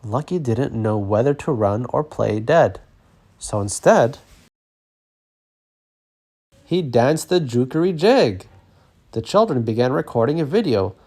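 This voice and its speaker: Male storybook narrator, medium pitch, very expressive and lively, reading aloud for kids. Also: measured pace, pauses often. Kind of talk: expressive children's read-aloud.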